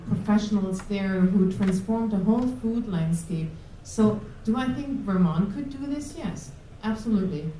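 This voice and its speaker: A German-accented woman talks on a panel, pausing and filling gaps with hesitant sounds. Her voice is mellow, and it reaches the mic from a distance in a roomy hall with faint background noise. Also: medium pitch. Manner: hesitant, many ums, restarts. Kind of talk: panel discussion. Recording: roomy room audio from a distance.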